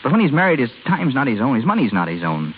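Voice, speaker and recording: A man's voice: mid-range voice, wildly swooping pitch, fast, a little hiss or hum. A man playing a proud, frustrated young husband with blustery, clipped 1930s radio drama acting, on a tinny old recording. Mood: frustrated, defiant.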